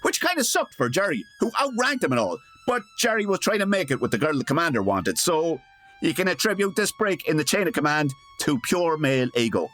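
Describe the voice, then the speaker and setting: A man's voice: a little high, big pitch swings, fairly quick, fairly bright tone. A man playing a wry, colloquial narrator delivering scripted comic narration for a radio parody.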